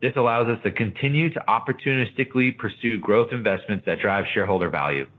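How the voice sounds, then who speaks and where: Over a narrow, phone-like line, a male executive reads prepared financial remarks. His voice is fairly low and his delivery formal and measured. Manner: formal, measured corporate reading. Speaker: company executive presenting financials. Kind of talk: scripted earnings call remarks.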